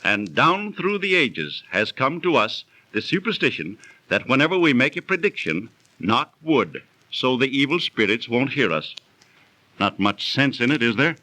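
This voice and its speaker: An actor playing an assured, sceptical storyteller, articulate and didactic, in 1930s radio drama style. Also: male voice, mid-range voice, big pitch swings, normal speed, bright, forceful tone, dry recording, poor audio. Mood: explaining. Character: know-it-all storyteller, sceptical friend of a businessman. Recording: dry 1930s radio studio recording.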